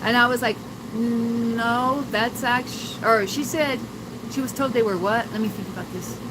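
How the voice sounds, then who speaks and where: A woman's voice: on the high side, fairly quick, background noise. An older woman telling family stories to her livestream viewers, rambling and laughing often with many fillers. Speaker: livestream host. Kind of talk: casual livestream chat with viewers.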